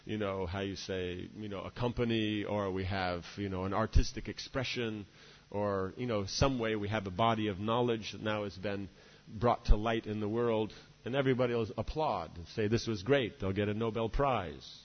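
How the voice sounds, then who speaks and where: A man's voice: lowish voice, steady tone, normal speed. Lecturing man in an echoing hall. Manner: discursive, many "you know" and "right?" asides. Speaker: spiritual teacher. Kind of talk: devotional lecture. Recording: dull reverberant hall recording.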